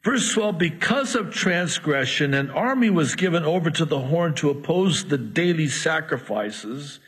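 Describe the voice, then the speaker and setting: A middle-aged man whose first language is Arabic, preaching through scripture with lively asides. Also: fairly high pitch, measured pace, husky. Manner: animated, jumping from scripture reading to asides. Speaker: pastor. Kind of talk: expository sermon.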